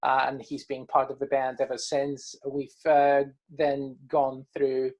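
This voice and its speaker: Man, hesitant and halting. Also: medium pitch, monotone, darkish tone. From Caribbean coast of Colombia. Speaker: band musician being interviewed. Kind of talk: casual interview chat.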